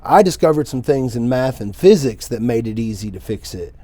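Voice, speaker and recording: A man in his forties talks in a mellow, warm voice as a podcast guest, earnest and reflective as he tells his story. The sound is close and dry, with no room echo. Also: mid-range voice, animated, moderate pace. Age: 40s. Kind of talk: casual podcast conversation.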